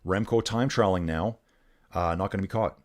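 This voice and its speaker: A man's voice: sits low, normal speed. A middle-aged man casually commenting on a bike race live and chatting with viewers, laid-back and saying "dude" a lot. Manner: laid-back, says "dude" often, reads chat aloud.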